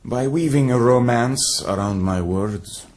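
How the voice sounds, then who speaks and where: An actor in a radio drama playing a proud, courteous young Syrian-born warrior who speaks in a measured, formal and earnest way. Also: male voice, medium pitch, a little mellow, close to an everyday voice. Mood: measured, candid.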